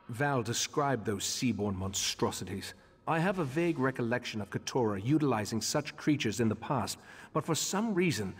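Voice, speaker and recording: A man playing a grave, scholarly leader of a mage order in a video game, speaking in a measured, formal way. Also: mid-range voice, breathy edge. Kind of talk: scripted video game character dialogue. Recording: long echoing hall reverb.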